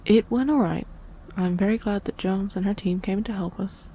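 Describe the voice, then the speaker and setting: Woman acting a mellow-voiced, tough gang lieutenant. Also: mid-range voice, moderate pace, slight background noise, lightly acted. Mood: cool. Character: tough female gang department head, ruthless with underlings. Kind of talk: scripted audio roleplay acting.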